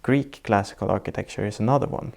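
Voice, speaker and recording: Swedish man, architect guest, low gravelly voice, measured explainer. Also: a little mellow. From Sweden. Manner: measured, reflective, lays out definitions step by step. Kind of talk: explanatory interview answers. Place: sitting indoors in Norway.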